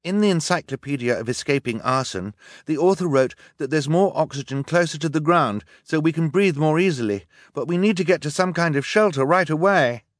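A man voicing an earnest, bookish boy in an audiobook, speaking urgently and loudly while explaining things. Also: fairly high pitch, lively intonation, brisk, dry recording, studio quality, voice pushed up, close to an everyday voice. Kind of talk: audiobook character acting.

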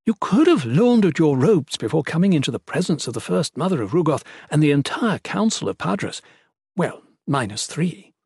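Audiobook role: young male acolyte, played by a male narrator, raised pitch, prim, educated, exasperated, quick to scold. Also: sing-song, breathy edge, no room sound, clean studio sound. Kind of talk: audiobook character voice.

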